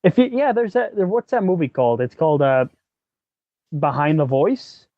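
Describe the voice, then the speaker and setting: A man's voice: fairly high pitch, very animated, moderate pace, dry recording, highs cut off. A man co-hosting a podcast in a casual discussion, thinking aloud as he goes and swearing now and then. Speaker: podcast co-host.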